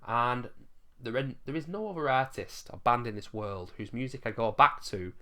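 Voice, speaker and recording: A man with a hoarse, fading voice, chatting enthusiastically through a countdown of his favourite albums and swearing casually now and then. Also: mid-range voice, normal speed, sharp tone. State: losing his voice, coughing. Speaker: music vlogger ranking albums.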